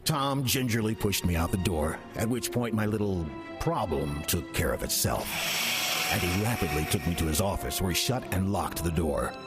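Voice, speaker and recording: A man playing a wry demon in the guise of an older church usher in an audio drama, with a husky voice and dry, deadpan delivery. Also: low voice, over loud music. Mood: wry narrating. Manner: dry, deadpan, unhurried sarcasm. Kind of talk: audio drama acting with first-person narration.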